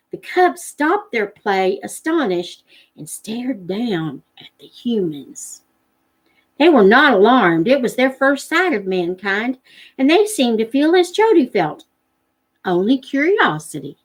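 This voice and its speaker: A woman narrating a storybook in a live read-aloud, as the host of a read-along. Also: fairly high pitch.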